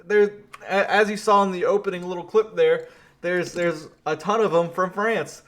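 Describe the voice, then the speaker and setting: A man from Louisiana giving casual political commentary, animated and quick to laugh, with frequent restarts. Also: sits high.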